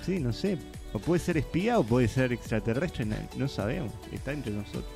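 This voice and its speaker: A Uruguayan Spanish-speaking man hosts a radio chat, spinning lively stories with a chatty manner. His voice sits at an ordinary male pitch, with faint music behind. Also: fairly quick, vocal fry. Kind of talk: casual chat.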